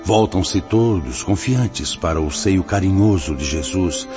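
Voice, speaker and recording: Clear music runs under a very deep, muffled voice: a serene spirit mentor. He speaks, solemn and benevolent, preaching like a wise father in a radio drama. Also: measured pace. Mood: solemn reassurance. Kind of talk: Portuguese-language radio drama acting.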